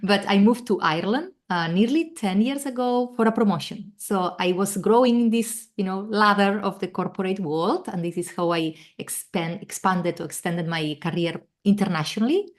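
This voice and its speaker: A woman's voice: mid-range voice, normal speed, few pauses. A middle-aged woman from Spain with a Spanish accent, talking earnestly as a guest on a video call with many fillers and restarts. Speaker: guest, travel coach. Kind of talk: casual interview chat.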